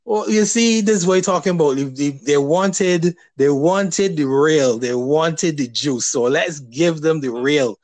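A man hosting a casual interview, talking hesitantly with many restarts and pauses. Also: a little high, darkish tone, dry recording. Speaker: podcast host.

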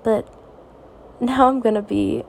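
A teenage girl hosting her own podcast, talking softly and reassuringly in a raspy, tired voice late at night. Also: a little high, fairly even intonation, takes it slowly, slight background noise, poor audio. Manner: soft, reassuring, hesitant. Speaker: podcast host. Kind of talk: personal podcast monologue.

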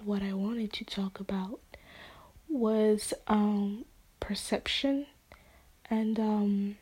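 A woman with English as her second language talks slowly and unhurriedly, her soft voice slightly husky and often dropping toward a whisper. She rambles, circling back with fillers and restarts. The sound is dry, with no room echo. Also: mid-range voice. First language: not English. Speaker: podcast host. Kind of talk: casual solo podcast monologue.

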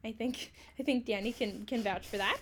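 A woman's voice: fairly high pitch, normal speed, husky. A woman in her twenties co-hosting a casual podcast, chatty, swearing and laughing often. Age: 20s. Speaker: podcast co-host. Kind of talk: casual chat.

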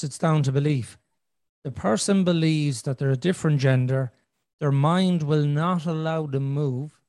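A man hosts a casual podcast, working his points out aloud with restarts and lots of "you know". His voice sits a little higher than most men's. The recording is dry and close, with no room sound. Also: a little mellow, dry recording. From Ireland. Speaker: podcast host. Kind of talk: casual conversational podcast chat.

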